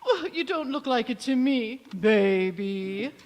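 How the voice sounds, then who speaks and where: A woman's voice: a little high, lively intonation, slow pace, darkish tone. A woman playing a doting, condescending older mother-in-law in 1940s radio comedy, cooing and mock-polite. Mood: cooing, fussing. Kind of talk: 1940s radio comedy acting, staged revival. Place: community theatre stage in a church. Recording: echoey hall, long reverb.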